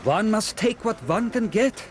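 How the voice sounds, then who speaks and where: An actor playing a courteous but exasperated German factory owner in a radio drama, with a formal, precise, emphatic manner and a German accent. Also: male voice, sits high, animated, unhurried, slight background noise. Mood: indignant.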